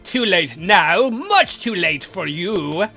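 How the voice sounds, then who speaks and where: A man's voice: sits high, lively intonation, vocal fry, pushed and bright, soft background music, exaggerated voice. Man voicing a dry, sardonic, commanding vampire count. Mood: menacing. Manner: dry, deliberate, mock-polite menace.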